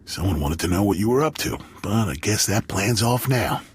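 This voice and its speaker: An actor playing a cocky hired thug in a video game, with a rough voice and offhand menace. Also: male voice, fairly low pitch, expressive, moderate pace, a little hiss or hum, close to an everyday voice. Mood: casual menace. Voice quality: husky, rough. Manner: offhand, matter-of-fact menace. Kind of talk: dramatic video game acting.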